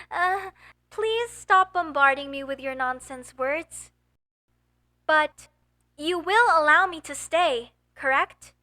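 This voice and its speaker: A woman's voice: high voice, slow, bright, forceful tone, full character voice. A woman voicing a young, earnest and flustered elf mage student in a comedic audio roleplay, with formal, hesitant phrasing. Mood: pleading, nervous. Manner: formal, slightly stilted phrasing with nervous hesitations.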